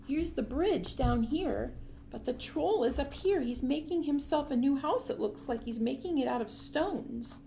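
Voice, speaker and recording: A woman's voice: high-pitched, animated, a little slow, vocal fry, dark tone, a little hiss or hum. A woman hosting a children's storytime, explaining things simply and putting questions to young listeners. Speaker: library storytime host. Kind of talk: children's storytime read-aloud with asides and questions.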